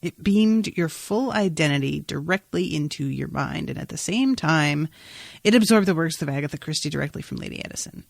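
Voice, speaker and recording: Low-pitched woman chatting casually, close dry sound. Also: lively intonation, fairly quick, vocal fry, no echo. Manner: informal, rambling, exclamatory asides. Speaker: podcast host. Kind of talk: casual TV recap chat.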